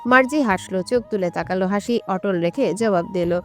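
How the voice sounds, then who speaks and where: A woman's voice: medium pitch, normal speed. Woman narrating romantic fiction over music. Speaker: story narrator. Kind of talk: scripted romantic audio-story narration. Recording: music bed under the voice.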